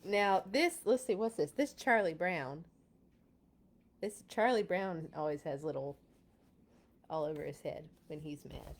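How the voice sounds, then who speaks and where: A woman hosting a children's read-along, casual and amused, with chatty, expressive asides. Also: lowish voice, a little slow, pauses often.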